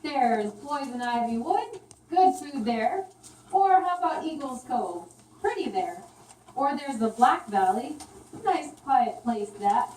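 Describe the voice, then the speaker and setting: A woman's voice: high-pitched, expressive, slow, darkish tone, voice pushed up. A woman voicing a sly male rat map seller in a puppet story, pushing his wares in clipped, folksy patter. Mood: wheedling. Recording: roomy, distant mic, faint noise.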